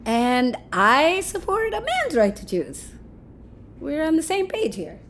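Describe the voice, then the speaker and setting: A woman's voice: high-pitched, sing-song, takes it slowly, fairly bright tone, exaggerated voice. A woman playing a flippant, unrepentant lover in an audio drama, with a breezy, casual, needling manner. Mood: defensive, earnest. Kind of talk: naturalistic audio drama acting.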